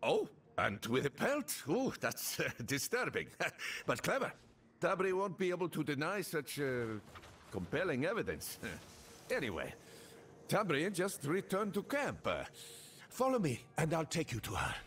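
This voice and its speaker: An actor playing a chatty, sly, jovial young desert rogue in a video game, with theatrical banter. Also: male voice, fairly high pitch, wildly swooping pitch, a little slow, a bit bright, slight background noise, exaggerated voice. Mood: delighted. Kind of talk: video game character dialogue.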